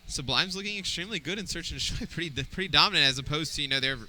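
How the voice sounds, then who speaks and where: A man's voice: on the high side, very fast, bright, forceful tone. A young adult man giving analytical esports color commentary, thinking aloud with fillers. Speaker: esports caster.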